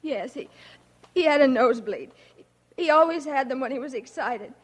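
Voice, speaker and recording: A woman's voice: high-pitched, lively intonation, moderate pace. An actress playing a frightened young woman accused of murder, pleading and distraught, in 1940s radio style. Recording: old radio recording, faint crowd.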